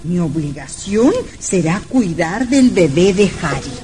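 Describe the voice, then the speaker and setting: An actress playing a deliberate woman who looks after a baby, in an old radio drama. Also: lowish voice, lively intonation. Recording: old radio recording, loud music behind.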